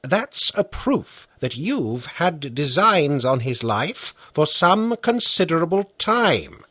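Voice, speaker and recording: A man reading the part of a stern, patient judge who questions the accused formally and slowly. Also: on the high side, very animated, bright, forceful tone, slightly rough, no room sound, pitched above the performer's own voice, full character voice. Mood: stern questioning.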